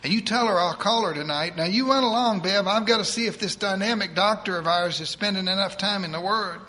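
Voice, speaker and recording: A woman's voice: medium pitch, brisk, bright, forceful tone, pitched above the performer's own voice, natural voice, rough recording. A man voicing a bossy, devout older woman, in a preachy sing-song manner. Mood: bossy chirpy.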